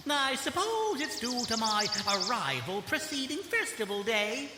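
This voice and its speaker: A woman's voice: on the high side, very animated, measured pace, loud music behind the voice. A woman playing a whimsical, mischievous yet kindly elf in an audio drama, giggly and theatrical, with playful rhymes and grand old-fashioned phrasing.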